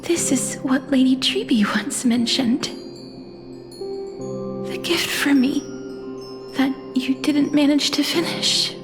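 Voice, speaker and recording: A woman playing a gentle, soft-hearted young priestess in a video game, polite and measured. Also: sits high, over music. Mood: moved, tender. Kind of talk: video game character acting.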